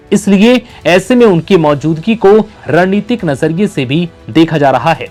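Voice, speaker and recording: A man's voice: high-pitched, darkish tone. A man reading scripted news narration as a presenter. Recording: music bed under the voice. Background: background music.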